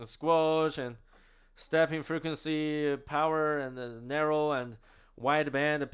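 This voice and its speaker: A man casually demonstrating radio gear, chatty and animated, laughing now and then as he explains. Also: on the high side, clear, resonant tone, lo-fi sound. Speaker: product demonstrator. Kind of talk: casual product demo.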